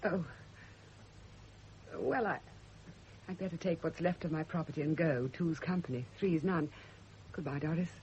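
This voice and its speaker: A woman's voice: sits low, moderate pace, long pauses, darkish tone, lightly acted. An actress playing an embittered, sleepless, guilt-ridden woman in a classic radio drama, speaking with tense emotional intensity. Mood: bitter. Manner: intense, emotional, self-justifying. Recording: muffled, noisy old radio recording.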